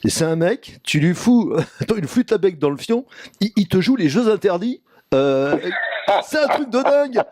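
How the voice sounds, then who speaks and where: A man playing a chatty, brash showbiz agent pitching variety acts in slangy, insistent patter on a prank phone call. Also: big pitch swings, normal speed, a little mellow, dry recording, clean studio sound. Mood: conspiratorial, brash. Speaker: prank caller.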